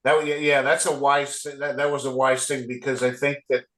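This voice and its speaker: A man co-hosting a community interview, speaking hesitantly with many pauses and restarts. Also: medium pitch, little pitch movement, a bit bright. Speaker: co-host.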